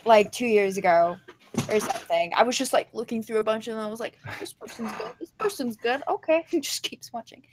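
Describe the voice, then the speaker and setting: A woman's voice: a little high, fairly quick, slight background noise. A young woman with a Southern US accent, chatting on a podcast in a rambling, giggly way. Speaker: podcast guest. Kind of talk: casual chat.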